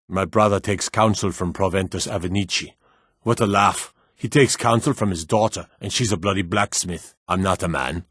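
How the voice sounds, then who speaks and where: Low, gruff fantasy town guard. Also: male voice, fairly bright tone, no echo, studio quality. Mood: gruff, scornful. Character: stern, world-weary male town guard of a Nordic fantasy realm. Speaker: game character voice. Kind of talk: scripted fantasy video game dialogue.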